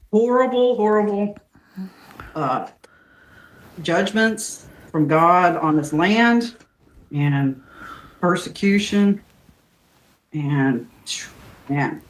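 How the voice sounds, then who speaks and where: A woman's voice: on the low side, echoey room, rough recording. An older woman, chatting earnestly while she cooks at home and drifting into preaching. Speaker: host. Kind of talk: casual chat while cooking. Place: home kitchen.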